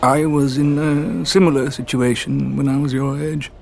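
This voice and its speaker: A courtly older royal chamberlain. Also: male voice, medium pitch, flat delivery, moderate pace, creaky, a little mellow. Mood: gentle, wry. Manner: formal, deferential. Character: courtly, gentle older lord chamberlain, self-effacing and steadfast. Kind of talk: audio drama acting. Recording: music behind.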